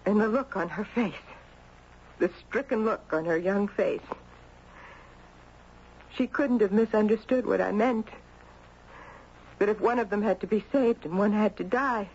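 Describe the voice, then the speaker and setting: A woman's voice: mid-range voice, a little mellow, husky. Anguished grieving mother, muffled radio drama. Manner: halting, dramatic, confessional delivery. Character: guilt-ridden grieving mother, anguished and confessional. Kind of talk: 1970s radio drama acting. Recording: muffled, noisy 1970s radio recording.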